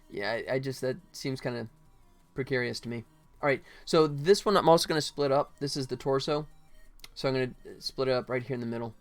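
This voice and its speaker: Casual livestream host, game developer, rambling, jokey, medium pitch, music playing behind the voice. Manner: rambling, jokey, acts out mock quotes. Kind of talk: casual livestream chat while working.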